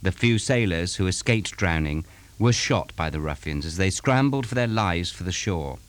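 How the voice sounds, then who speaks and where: Low-voiced man reading a dramatic story. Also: animated. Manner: suspenseful, deliberate storytelling. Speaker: story reader. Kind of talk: dramatic scripted story narration.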